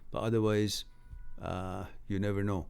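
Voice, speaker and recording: A man's voice: fairly low pitch, level tone, normal speed, vocal fry, darkish tone, clear, resonant tone. A man whose first language is Farsi hosts a live stream, reading viewer comments aloud and giving blunt, breezy advice, laughing often between sentences.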